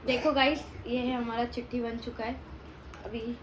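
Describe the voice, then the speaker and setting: A woman's voice: a little high, level tone, normal speed. A young woman talking informally and playfully, as in a casual family vlog with prank calls. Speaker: family vlogger. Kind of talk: casual chat and prank calling. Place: at home, indoors. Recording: noisy home phone recording.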